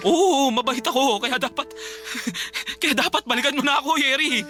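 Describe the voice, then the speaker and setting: A man's voice: extremely high pitch, measured pace, pushed and bright, heavily acted. A man playing an obsessive, desperate spurned ex-boyfriend in a Filipino radio drama, whining and insistent as he pleads and threatens. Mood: menacing, jealous. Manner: whining, insistent, stammering repeated pleas. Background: music.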